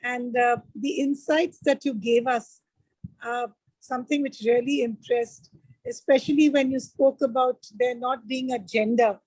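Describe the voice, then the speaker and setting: A woman giving a halting vote of thanks on a video call, with many fillers. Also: fairly high pitch, fairly even intonation, darkish tone, no room sound, rough recording.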